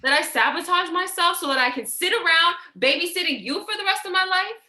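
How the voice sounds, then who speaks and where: A woman's voice: extremely high pitch, animated, brisk, sharp tone, full character voice. A woman playing a stressed, defensive, foul-mouthed sister in a staged play reading, emotional and confrontational. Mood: indignant. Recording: echoey room.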